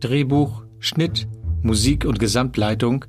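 Slow credits announcer over music. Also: mid-range voice. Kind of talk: scripted credits reading.

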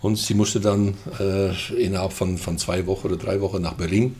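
Older Dutch man, very deep voice. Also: steady tone, a little fast, warm tone. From Netherlands. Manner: hesitant, many fillers and throat clearing. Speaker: guest, festival co-manager. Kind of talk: casual interview chat.

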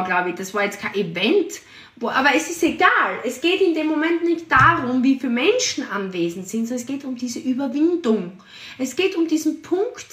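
A woman hosting a motivational podcast. Her voice is high and shrill, and she talks with energy and emphasis, piling up repeated words. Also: roomy sound, recorded from a distance. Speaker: podcast host and sales team leader.

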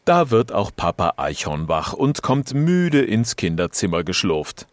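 Deep, animated man telling a children's story. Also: unhurried, no echo. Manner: expressive, wondering, addresses young listeners. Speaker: narrator.

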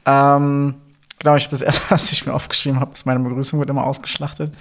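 A young man with a Berlin accent co-hosting a casual podcast, relaxed and chatty, with lots of fillers and little chuckles. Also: medium pitch, quick pace. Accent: Berlin German. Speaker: podcast co-host. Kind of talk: casual couple podcast chat.

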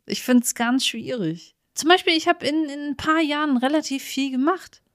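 High-voiced woman chatting candidly. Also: moderate pace, fairly bright tone, no room sound, studio quality. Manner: candid, self-effacing. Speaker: podcast co-host. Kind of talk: casual chat.

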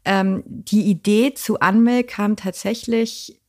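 A young adult woman, a founder, talking casually in a podcast interview, chatty with many fillers and quick asides. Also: medium pitch, steady tone, darkish tone.

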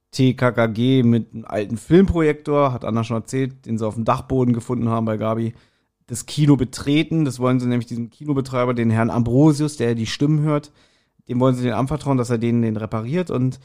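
A man's voice: medium pitch, darkish tone. A man co-hosting a podcast, chatting casually as he reviews audio dramas and acts out short quotes. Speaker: podcast co-host.

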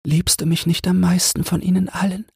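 A young mermaid from a storybook, played by a man, high and very breathy. The girl is gentle and lovelorn, speaking with tender, wistful devotion in a close, dry sound. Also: little pitch movement, fairly quick, dry recording, heavily acted. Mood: pleading. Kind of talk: fairy tale audiobook character voice.

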